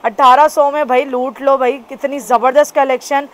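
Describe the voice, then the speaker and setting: High, eager woman with a Karachi Urdu accent. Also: fairly even intonation, moderate pace. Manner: enthusiastic, repeats praise and prices. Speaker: shopping vlogger. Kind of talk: upbeat shop-tour vlog. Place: busy clothing shop indoors.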